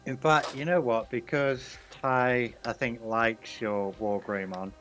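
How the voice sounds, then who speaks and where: A man's voice: medium pitch, fairly even intonation, takes it slowly, a bit bright, faint music behind. A man chatting and teasing his co-host casually on a live stream. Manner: teasing, joking.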